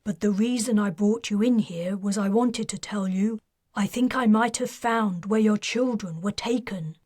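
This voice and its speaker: A woman's voice: mid-range voice, moderate pace, a little mellow, dry recording, clean studio sound, natural voice. A woman voicing an earnest, guilt-ridden male army major who talks urgently and pleads to be understood.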